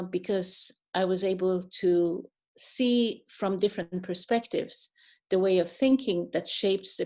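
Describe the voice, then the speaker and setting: A middle-aged woman from Iran giving an online talk on her career, speaking thoughtfully with many pauses, fillers and restarts. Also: fairly low pitch, a little mellow. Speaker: guest speaker, neuroscientist.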